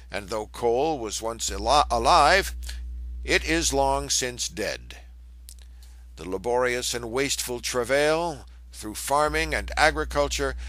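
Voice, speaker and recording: A man reads a scholarly text aloud as a lecture. His voice is bright and firm at a middling pitch. The delivery is formal and measured, now and then tripping on a word. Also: no echo, poor audio. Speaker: reader of a historical lecture text.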